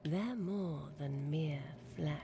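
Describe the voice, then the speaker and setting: An actress voicing a solemn, cryptic woman who speaks in a breathy whisper in a video game. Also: low voice, slow, crowd noise. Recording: distant voice over music.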